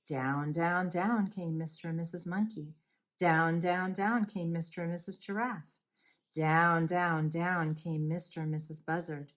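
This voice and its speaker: Slow-paced woman storyteller. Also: fairly low pitch, fairly even intonation. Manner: deliberate, repetitive, dramatic emphasis. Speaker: children's storyteller. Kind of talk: expressive scripted bedtime story narration for young children.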